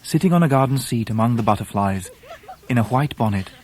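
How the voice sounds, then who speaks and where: An actor playing a genteel Victorian gentleman who narrates his youth in a radio drama, with an English accent and a measured, wry, literary manner. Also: mid-range voice, lively intonation, lightly acted. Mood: wry, fond. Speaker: narrator in character.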